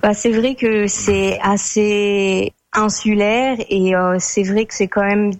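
Relaxed young French woman, hesitant. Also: medium pitch, slow. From France. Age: young adult. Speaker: guest. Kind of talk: casual conversation.

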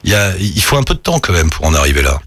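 A man's voice: sits low, very animated, rapid-fire, fairly bright tone. A man interviewing a guest in a chatty, exclamatory way, often leading with his own opinions. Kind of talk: casual interview.

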